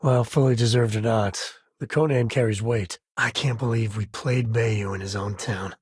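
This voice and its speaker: A man playing a rugged frontier space explorer in a video game, a wry, plain-spoken former ranger with a folksy way of talking and a husky voice. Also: lowish voice, fairly even intonation, moderate pace, darkish tone, dry recording, clean studio sound. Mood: warm, relaxed. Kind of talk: game character dialogue.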